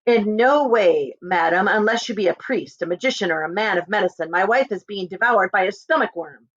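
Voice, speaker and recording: A woman's voice: mid-range voice, fairly quick, fairly bright tone, no room sound, muffled recording, close to an everyday voice. A woman reading the part of a pompous, irritable, dismissive medieval husband in a storybook. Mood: haughty. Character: haughty, irritable prosperous husband in medieval England.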